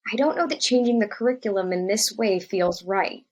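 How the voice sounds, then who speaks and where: A woman's voice: medium pitch, moderate pace, muffled recording, natural voice. A woman acting as a troubled young first-year science teacher in a scripted podcast drama, earnest and hesitant. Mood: worried, defensive.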